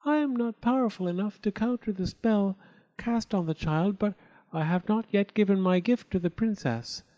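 A man voicing a shy young female fairy who speaks softly and earnestly in a storybook reading. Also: sits high, moderate pace, muffled recording, pitched above the performer's own voice, lightly acted.

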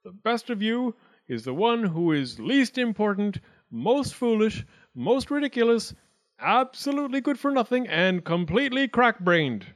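A pompous storybook wildcat judge, acted out by a man, pitched high and swooping widely. He is nervous underneath but blusters formally to sound important. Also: big pitch swings, normal speed, no echo. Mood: grand, solemn.

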